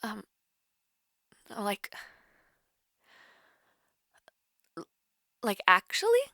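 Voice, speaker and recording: A woman playing a nervous, smitten young woman in an intimate romantic audio roleplay, halting and flustered. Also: medium pitch, wildly swooping pitch, pushed and bright, no echo, studio quality, full character voice. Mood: flustered, hesitant. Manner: halting, flustered, breathy sighs and whispers.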